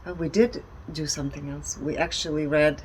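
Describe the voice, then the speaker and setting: A woman giving a conversational, explanatory presentation in deliberate short phrases. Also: low-pitched, steady tone, a little mellow, low-quality recording. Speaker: speaker presenting energy readings. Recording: background ambient noise.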